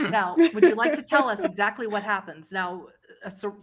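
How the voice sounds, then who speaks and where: A woman acting as game master, narrating a tabletop roleplaying game, explaining rules and describing scenes to players. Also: mid-range voice.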